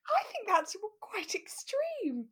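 A woman's voice: very high-pitched, huge pitch swings, takes it slowly, a bit bright. British woman chatting as podcast co-host. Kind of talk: relaxed chat about a radio drama.

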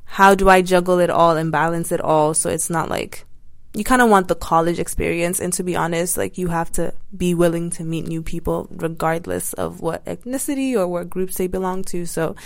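A woman's voice: on the low side, fairly even intonation, moderate pace, creaky. A young woman student talking thoughtfully in a casual conversation, with many fillers. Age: young adult. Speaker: student guest.

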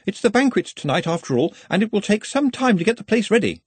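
A man's voice: sits high, very animated, quick pace, slightly rough, no echo, voice pushed up, heavily acted. A man voicing a polite, practical, fussy male wizard administrator in an audiobook. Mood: anxious to organise.

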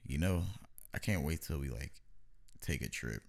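Man, podcast host, very deep voice, laid-back, profane. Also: animated, moderate pace, vocal fry. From Louisville, Kentucky. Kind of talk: casual chat.

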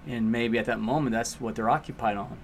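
A man's voice: mid-range voice, steady tone, fairly quick, a little hiss or hum. A man who teaches martial arts, chatting on a podcast and coaching parents with questions. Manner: coaching, asks questions to make a point. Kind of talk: casual podcast chat.

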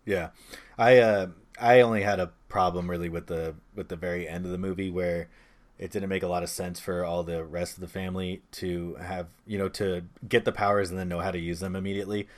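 A man's voice: low-pitched, fairly even intonation, a little fast. A man hosting a podcast, talking casually about movies in a discussion with others. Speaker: podcast host.

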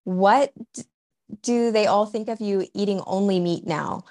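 Woman interviewer, unhurried delivery, curious open questions. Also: mid-range voice, darkish tone. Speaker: interviewer, host. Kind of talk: casual interview.

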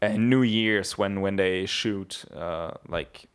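A man's voice: low voice, takes it slowly, clear, resonant tone. A young man from Bavaria, chatting casually, rambling with lots of swearing and fillers. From Germany (southeast Bavaria). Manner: rambling, swears a lot, many fillers and restarts. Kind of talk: casual chat.

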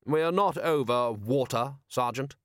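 Aristocratic ruler for comic fantasy audiobook voiced by a man: composed, measured, dry wit, very dry recording. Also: medium pitch, dry recording, voice pushed up, natural voice. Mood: unruffled. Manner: measured, formal, deadpan. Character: calm, dryly witty, aristocratic male city ruler.

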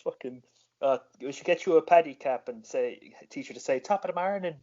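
A man's voice: fairly high pitch, a little fast, warm tone. Guy asking questions, swears every other line, teasing, muffled lo-fi audio. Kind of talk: casual chat. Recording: dull lo-fi recording.

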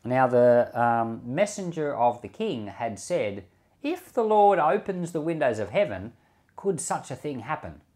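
A male preacher speaks in a mid-pitched voice with lively, wide-ranging intonation. He phrases things in a measured way and leans on key words. The delivery suits scripture reading and informal devotional teaching. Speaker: Bible podcast host and preacher.

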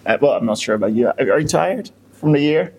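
A man's voice: fairly high pitch, lively intonation, darkish tone, slightly rough. Young man hosting, full of fillers. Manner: halting, many fillers and restarts. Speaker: podcast co-host. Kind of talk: casual chat.

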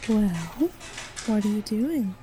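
A woman playing a flirtatious general store keeper in an audio drama, lingering suggestively on her words and dropping into breathy murmurs. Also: medium pitch, mellow, soft background music, natural voice. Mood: flirtatious, teasing. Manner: slow, lingering, innuendo-laden delivery.

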